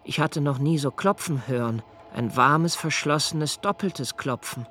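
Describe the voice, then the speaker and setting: An actor playing a melancholy, observant young man, narrating a literary radio drama in a measured, introspective way. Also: fairly high pitch, sharp tone. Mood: absorbed, reflective. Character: melancholy, observant young man recalling his father's death.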